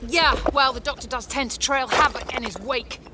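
A woman's voice: high voice, normal speed, heavy background noise, lightly acted. An actress playing a teasing, stubborn young woman in an audio drama. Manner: teasing, cheeky.

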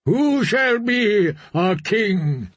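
A man's voice: high voice, lively intonation, drawn-out words, no room sound, dull audio, pitched above the performer's own voice, heavily acted. A man voicing a zealous crowd of drowned men, chanting and calling out. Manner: shouting, chanting.

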